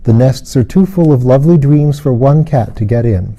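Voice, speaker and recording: A man voicing a serene, wise and kindly fairy-tale woman in a storybook, with calm, solemn, poetic phrasing. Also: mid-range voice, normal speed, natural voice. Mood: calm, reassuring.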